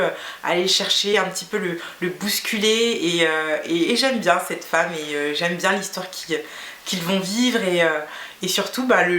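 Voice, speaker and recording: A woman's voice: on the low side, a bit bright, reverberant, slight background noise. A woman in her thirties from Guinea whose first language is Fula, chatting casually to camera with many fillers and restarts. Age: 30s. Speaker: beauty vlogger.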